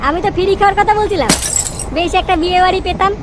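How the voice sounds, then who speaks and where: A man voicing a cheeky, daydreaming young glutton in a comedy cartoon, with a pitched-up voice. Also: rapid-fire. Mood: greedy, dreamy. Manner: wistful, food-obsessed, cheeky. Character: greedy, daydreaming young club idler craving free feasts. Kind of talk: exaggerated comedy cartoon acting. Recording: noisy track with street and vehicle ambience.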